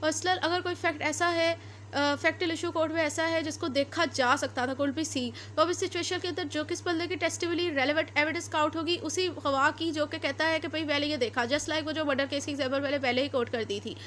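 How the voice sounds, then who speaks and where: A woman with a Pakistani accent speaks in a very high voice, teaching in a didactic way. She explains step by step and restates each point, moving between her own explanation and read-out English passages. There is faint noise behind her. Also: steady tone, fairly quick, fairly bright tone. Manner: didactic, repeats and rephrases points. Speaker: law lecturer. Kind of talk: explanatory lecture mixing Urdu with read-out English statutes.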